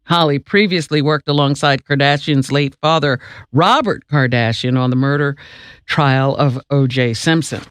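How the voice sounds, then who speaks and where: A woman working as a radio co-host, reading the news deliberately and adding wry, emphatic asides in casual banter. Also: a bass voice, smooth, no room sound.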